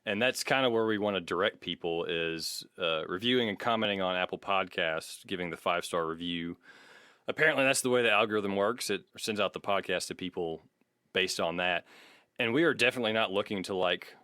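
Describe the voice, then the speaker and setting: Male podcast co-host, lower-pitched, forward bright tone, dry close-miked sound, casual rambling delivery, self-deprecating. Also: a little fast, smooth, no room sound.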